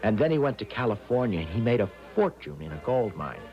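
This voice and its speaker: A timid young American student in an old radio drama. His fairly low voice is hesitant and homesick, with a dull lo-fi sound and some music. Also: big pitch swings, quick pace. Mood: timid, downcast. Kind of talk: 1970s radio drama acting.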